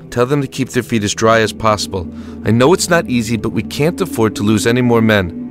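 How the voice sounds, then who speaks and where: An actor playing a tired but steady American army lieutenant in a war audio drama, with measured, reassuring authority. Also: male voice, on the low side, over music, natural voice. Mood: firm.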